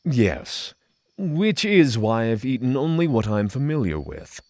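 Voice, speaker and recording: A man's voice: medium pitch, very animated, a little mellow, no echo, clean studio sound. A man playing an earnest, cautious, reflective spiritual pilgrim in a fantasy audio drama, formal and hesitant. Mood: reserved, earnest. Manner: formal, hesitant, thoughtful pauses.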